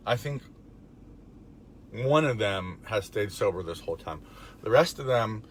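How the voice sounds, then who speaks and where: A man in middle age, his low voice raspy and gravelly from a recent illness, speaks in a relaxed, rambling way with fillers. He is recorded in a parked car, with a faint background hum. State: hoarse from being under the weather. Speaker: recovery vlogger. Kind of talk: casual faith talk to camera.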